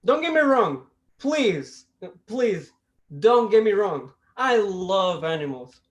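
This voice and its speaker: A man from Costa Rica with a Costa Rican Spanish accent, doing a stand-up comedy set over a video call, with a deliberate delivery that stops and restarts. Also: sits high, very animated, muffled recording. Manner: deliberate, with restarts and self-amused laughs. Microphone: video call mic.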